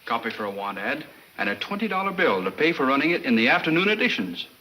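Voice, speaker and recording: Eager newspaperman, rapid delivery, highish pitch, 1940s serial, faint film hiss. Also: big pitch swings, sharp tone, full character voice, low-quality recording. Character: eager male newspaperman bringing a lead. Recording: old 1940s film soundtrack, faint noise.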